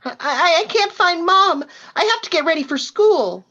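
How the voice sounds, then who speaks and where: A woman's voice: very high-pitched, expressive, moderate pace, bright, forceful tone, lo-fi sound, exaggerated voice. A woman voicing an anxious nine-year-old girl in a live script table read. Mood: worried.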